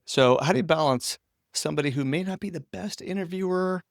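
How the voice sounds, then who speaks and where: A man's voice: fairly high pitch, brisk, gravelly, dry recording, studio quality. A man hosting a casual interview chat, speaking agreeably as he responds and asks questions. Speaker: interviewer, host.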